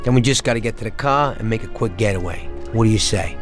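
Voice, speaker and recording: Low-voiced, cocksure gangster hitman played by a man. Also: quick pace, soft background music, lightly acted. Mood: confident, scheming. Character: cocksure male gangster hitman, eager for the job. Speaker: mob hitman. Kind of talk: video game cutscene acting.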